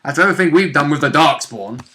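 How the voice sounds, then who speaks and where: A man's voice: a little high, expressive, quick pace, bright, forceful tone. A man co-hosting as a player on a role-play vidcast, bantering casually in a jokey, exclamatory, mildly sweary way.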